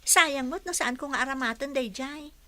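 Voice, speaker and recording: Young female housekeeper, pert yet dutiful, bright higher voice, Ilocano radio serial. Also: moderate pace. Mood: earnest, affectionate. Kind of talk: Ilocano radio drama acting.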